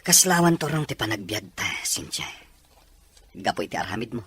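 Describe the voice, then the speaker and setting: A man's voice: medium pitch, very animated, moderate pace, fairly bright tone, a little hiss or hum. A man acting a worried, reproachful husband in an Ilocano radio drama, scolding and exasperated.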